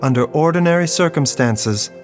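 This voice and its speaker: An actor playing a composed, compassionate doctor in a video game, speaking in a measured, formal and solemn manner. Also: male voice, on the high side, lively intonation, soft background music, natural voice. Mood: clinical, grave. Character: composed, compassionate male doctor, gravely courteous. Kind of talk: video game dramatic acting.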